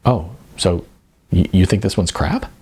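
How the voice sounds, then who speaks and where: A man's voice: sits low, a little slow, mellow, slightly rough, full character voice. A man voicing an eager, unsure young husband who stammers in an audiobook. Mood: hesitant. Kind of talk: audiobook character voice.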